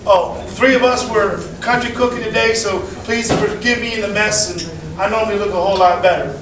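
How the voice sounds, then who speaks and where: Guy running a community meeting, loud and folksy, highish voice, echoey hall, mic far off. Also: fairly even intonation, fairly quick, background noise. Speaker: meeting chairman. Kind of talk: public address to a meeting.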